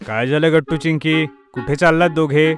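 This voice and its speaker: A man plays a stern father in a children's cartoon story, his voice a bit higher than most men's. He instructs firmly and impatiently, in a scolding teacher's manner, with faint music underneath. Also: fairly even intonation, normal speed, close to an everyday voice.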